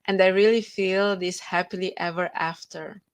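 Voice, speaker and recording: A woman's voice: on the low side, steady tone, moderate pace. A woman with a Romanian accent, a guest author telling her story with earnest feeling in a podcast interview over a video call.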